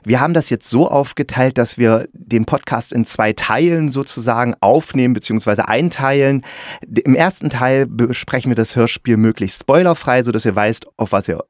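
A man's voice: mid-range voice, normal speed, darkish tone, no room sound. Casual male podcast host, dry close sound. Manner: informal, chatty, self-correcting. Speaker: podcast host and radio drama critic. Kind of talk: casual podcast hosting and interviewing. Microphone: close mic in a remote online call.

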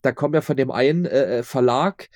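A man answering casually as an interview guest, explaining haltingly and often repeating his words. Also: medium pitch, a little mellow, no echo, clean studio sound. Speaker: audio drama maker being interviewed.

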